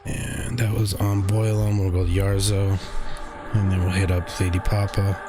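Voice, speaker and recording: A man with a deep voice casually commentating his own online game session, thinking aloud and swearing now and then. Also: little pitch movement, normal speed. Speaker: gaming channel host. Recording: game music behind the voice.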